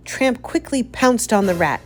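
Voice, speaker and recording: A woman narrating a children's story as an expressive read-aloud. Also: a little high, measured pace.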